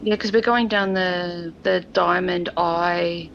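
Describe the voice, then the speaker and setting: A woman's voice: lowish voice, steady tone, slow pace, creaky, highs cut off, a little hiss or hum. A woman playing a tabletop game, improvising her character's actions in casual chat.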